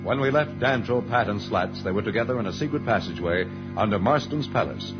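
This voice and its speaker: A man's voice: fairly low pitch, lively intonation, fairly quick. A man narrating an old-time radio serial as its announcer, formal and dramatic. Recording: muffled old radio recording. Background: loud dramatic music.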